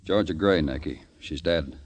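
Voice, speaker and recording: An actor playing a world-weary, terse police detective in an old noir radio drama. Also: male voice, a bass voice, moderate pace. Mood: hard, accusing. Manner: terse, hardboiled. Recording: old radio broadcast with music.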